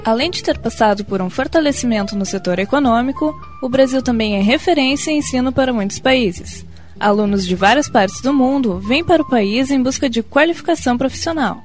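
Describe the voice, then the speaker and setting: A woman with a Brazilian Portuguese accent reading a scripted radio report in a measured newsreader style. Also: high voice, expressive, faint music behind. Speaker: reporter narrator. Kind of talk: scripted narration.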